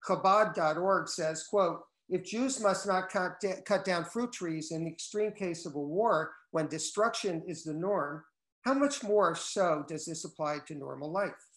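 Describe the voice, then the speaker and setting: An older man, a cookbook co-author, reading a prepared talk in measured tones over a video call from home. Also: sits high, fairly bright tone. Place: wood-paneled room at home. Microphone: laptop video call. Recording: echoey room on a video call.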